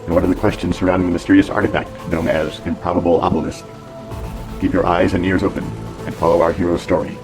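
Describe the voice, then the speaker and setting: Deep, even-toned male serial narrator. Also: fast talker. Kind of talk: scripted pulp serial narration. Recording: loud music behind narration.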